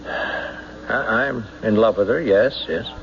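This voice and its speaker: An actor in an old-time radio drama playing a nervous, evasive lovestruck suspect, hesitant and stammering. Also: male voice, on the low side, gravelly, muffled recording. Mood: cornered, pleading. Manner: hesitant, halting, stammering under questioning. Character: nervous, evasive man in love, suspected of murder. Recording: noisy band-limited old radio broadcast recording.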